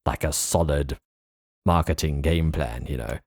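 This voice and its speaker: A man's voice: a bass voice, a little slow, a little mellow, dry recording, clean studio sound. A South African man hosting a podcast, chatting in an informal, reflective way. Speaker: podcast host. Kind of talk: casual chat.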